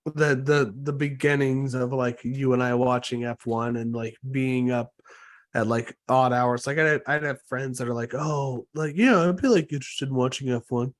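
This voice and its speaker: A man's voice: medium pitch, moderate pace. A young man hosting a casual podcast chat, recovering from a cold with an occasional cough, telling stories animatedly and swearing freely. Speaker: podcast host.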